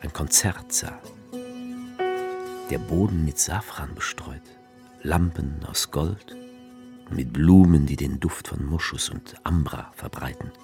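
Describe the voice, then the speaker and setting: An actor playing the author figure in a radio play, narrating wryly in the first person. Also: male voice, very deep voice, slow, pauses often, over music, exaggerated voice.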